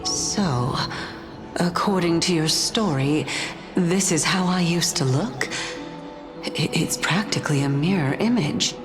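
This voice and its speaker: A woman's voice: on the low side, slow. A woman playing a composed, elegant Titan in a video game, speaking in a languid, wry and formal way. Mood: wryly amused. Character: composed, elegant, quietly amused female Titan. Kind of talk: scripted game dialogue. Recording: music behind the voice.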